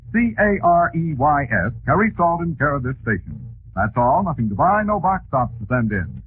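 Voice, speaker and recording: A man with an American accent reading scripted advertising as a 1940s radio announcer. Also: a little high. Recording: tinny, hissy 1940s radio recording.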